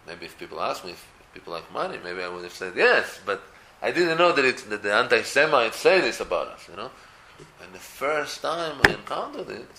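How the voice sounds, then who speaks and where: Animated man from Israel, gravelly voice. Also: fairly low pitch, reverberant, heavy background noise. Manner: animated, many restarts, rising to emphatic peaks. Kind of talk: casual religious talk.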